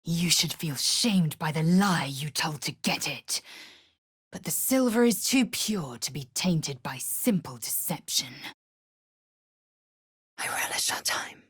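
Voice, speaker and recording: A fierce alien warrior woman from a video game. Her voice is low and rough-edged, recorded close and dry. She speaks in clipped, formal phrases with proud disdain. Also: expressive, slow pace, no echo, full character voice. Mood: hushed. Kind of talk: video game character voice lines.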